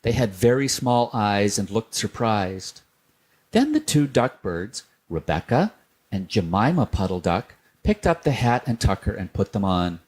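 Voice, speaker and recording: A man reading a children's storybook aloud, gentle and unhurried. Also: fairly low pitch, animated, slightly rough.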